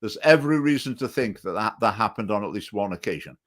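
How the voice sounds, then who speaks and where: A man's voice: fairly low pitch, lively intonation, quick pace. A man hosting a talk show, speaking hesitantly with many fillers and restarts. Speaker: host. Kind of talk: political talk show chat.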